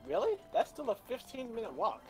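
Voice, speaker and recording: A woman's voice: on the high side, huge pitch swings, brisk, breathy edge, full character voice. A woman playing a polite, nervous young female college student, speaking formally and earnestly in a story reading. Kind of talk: character voice in a live fan-fiction reading. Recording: music playing behind the voice.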